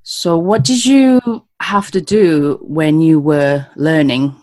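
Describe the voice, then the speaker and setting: A woman's voice: low voice, slow, no echo. A woman, hosting a friendly interview and laughing often as she chats. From Japan. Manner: animated, chatty, laughs often. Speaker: podcast host. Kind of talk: casual interview chat.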